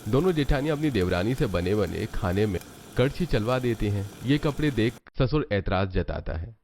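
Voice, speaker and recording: A man's voice: on the low side, expressive, moderate pace, darkish tone. A man narrating a scripted cartoon family story.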